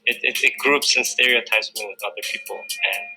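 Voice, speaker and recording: A man's voice: mid-range voice, a little fast, sharp tone, soft background music, rough recording. A man in an interview speaking hesitantly, restarting words.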